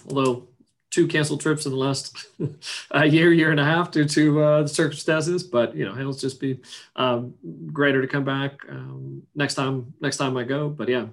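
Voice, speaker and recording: A man's voice: mid-range voice, fairly even intonation, moderate pace, fairly bright tone. A man in his forties from Iceland with no accent left, a business owner chatting loosely as an interview guest, full of fillers and restarts. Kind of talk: casual interview chat.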